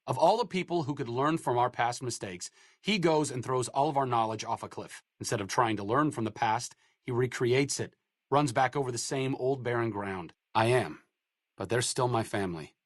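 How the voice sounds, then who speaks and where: A man's voice: mid-range voice, no echo, close to an everyday voice. A man voicing a dry, sarcastic wasteland doctor. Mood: bitter. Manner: dry, sarcastic, self-deprecating wit. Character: sardonic bookish male wasteland doctor, adult. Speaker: game companion character. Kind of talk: video game voice acting.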